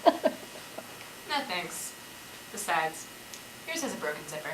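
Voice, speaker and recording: A woman's voice: lowish voice, very animated, slow. A woman acting in a stage play as a worried, teasing companion keeping watch among zombies. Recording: echoey stage, clear background noise.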